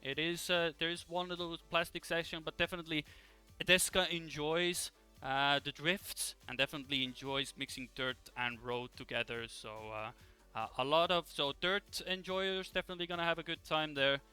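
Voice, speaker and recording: A man hosting an esports broadcast in a casual live style, with hesitant, halting phrasing. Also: on the high side, fairly even intonation, pushed and bright, clear, resonant tone. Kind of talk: casual live presenting and commentary. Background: music in the background.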